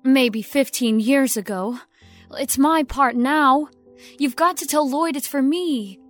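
Woman acting high-voiced young scheming actress. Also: slow, faint music behind. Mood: calculating. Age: young adult. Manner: earnest, self-dramatizing. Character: young ambitious actress, wounded innocence masking cold scheming. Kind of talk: audio drama acting.

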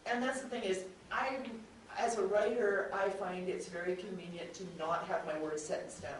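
A woman's voice: medium pitch, fairly even intonation, moderate pace. A woman hosting an audio drama panel at a convention, rambling and correcting herself as she goes, often laughing. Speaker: podcast host and audio drama writer. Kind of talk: panel discussion. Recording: very echoey room, distant mic, faint noise.

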